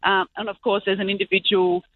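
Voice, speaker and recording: A woman's voice: medium pitch, level tone, a little fast, no room sound. A young Australian woman, a professional basketball player, chatting as a guest in an upbeat, talkative way with lots of "like" and "you know". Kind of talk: casual interview chat. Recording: tinny phone line.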